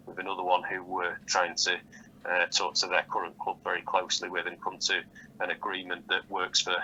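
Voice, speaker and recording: A deep-voiced man with a very hard, bright edge and little melody speaks as a football manager on a phone interview. He answers in measured, halting phrases, repeating words as he works through them. The line is slightly dull. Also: completely flat delivery. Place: phone call. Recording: dull, lightly noisy phone call audio.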